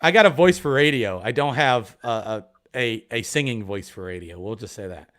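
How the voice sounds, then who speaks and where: Excitable man from Ohio, rambling casual stream talk, many restarts. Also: medium pitch, normal speed. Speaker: metal detecting YouTuber, guest. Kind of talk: casual live stream chat.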